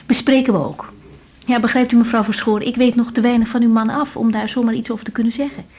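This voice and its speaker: A young female doctor in a radio drama. Her voice is fairly high and mellow, with a slightly husky edge. She speaks tactfully and patiently, explaining with careful reassurance. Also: quick pace. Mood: calm, careful. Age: young adult. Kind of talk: 1980s naturalistic Dutch radio drama acting.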